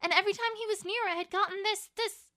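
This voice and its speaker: A woman plays an anxious, bookish young mare in an audio storybook. The voice is extremely high and piercingly bright. She stammers and frets dramatically. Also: fairly even intonation, quick pace. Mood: hesitant realization. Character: anxious, bookish young female pony, fretting and livid. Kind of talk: dramatised fan fiction reading.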